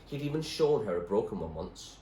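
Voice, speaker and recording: A man narrates a storybook expressively in a fairly low voice. His delivery moves widely up and down. The room is echoey, with faint background noise. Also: sing-song, a little fast, dark tone. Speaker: teacher reading aloud.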